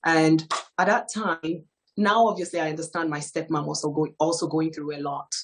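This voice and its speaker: A woman from Ghana in her thirties, telling her life story as a guest in a hesitant, soft-spoken way, with frequent fillers. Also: low voice, fairly even intonation, moderate pace, a little hiss or hum. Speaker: guest giving testimony. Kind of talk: personal testimony in an interview.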